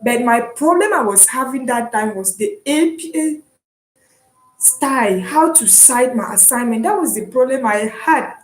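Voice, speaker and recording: A young woman from Cameroon with a Cameroonian English accent, chatting casually and encouragingly about her online studies, often pausing with "um". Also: on the high side, animated, echoey room, a little hiss or hum, rough recording. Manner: chatty and encouraging, with frequent "um" and restarts. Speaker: vlogger and online student. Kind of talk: casual explanatory vlog.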